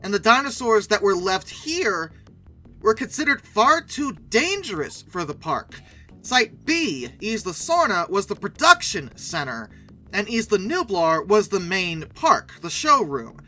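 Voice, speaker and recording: Sarcastic youtube host type, bright lively voice, really leans on words, bit of music behind. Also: mid-range voice, measured pace. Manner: sarcastic, emphatic. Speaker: video host. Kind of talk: casual scripted-style video commentary.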